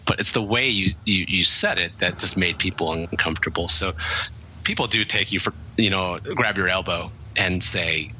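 A man's voice: deep voice, moderate pace, sharp tone, slight background noise, poor audio. A man being interviewed about his working life, talking in a reflective, rambling way with many fillers and restarts. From English-speaking country. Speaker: guest, author. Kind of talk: conversational interview.

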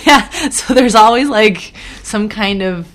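A woman's voice: medium pitch, measured pace. A woman speaking as a guest in a casual conversation, easygoing and laughing as she talks. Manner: easygoing, laughs while talking.

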